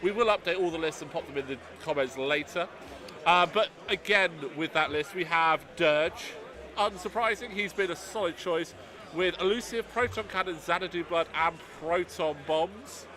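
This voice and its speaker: A British man hosting live commentary of a miniatures tournament, speaking loudly and chattily with a self-deprecating touch. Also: a little high, moderate pace. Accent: British English. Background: crowd chatter of a tournament hall.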